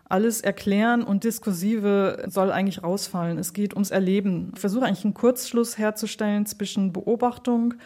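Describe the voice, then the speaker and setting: A woman's voice: mid-range voice, steady tone, a little fast, hardly any pauses, warm tone. A woman giving thoughtful, explanatory answers in a conversational interview, as an artist talking about her work. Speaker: interviewed sound artist and radio play maker.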